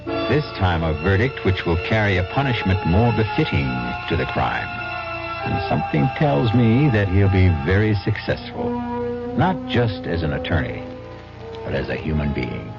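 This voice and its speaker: A man's voice: low-pitched, big pitch swings, moderate pace, vocal fry. A man hosting a 1970s radio mystery series, with theatrical, portentous scripted narration and a wry touch. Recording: dull old radio recording. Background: music.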